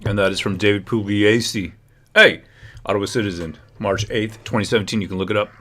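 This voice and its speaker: Low-voiced man hosting a commentary stream. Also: fairly even intonation, fairly quick, a bit bright. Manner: emphatic, talks straight to viewers. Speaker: livestream host. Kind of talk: political commentary livestream.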